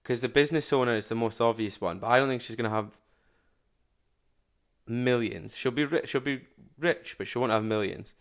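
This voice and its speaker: A man giving casual, slangy reaction commentary as a streamer, with blunt loud outbursts. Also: medium pitch, normal speed.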